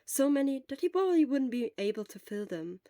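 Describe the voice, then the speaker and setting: A woman's voice: high-pitched, big pitch swings, brisk, warm tone, no room sound, studio quality. A woman reading scripted fiction aloud as the narrator of an audio story. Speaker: podfic narrator.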